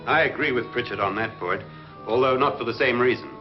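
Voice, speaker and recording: An actor in a 1950s horror film playing a calm, reasonable doctor with a measured, matter-of-fact manner. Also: male voice, medium pitch, close to an everyday voice. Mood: calm, reasoning. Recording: dull old film soundtrack with music.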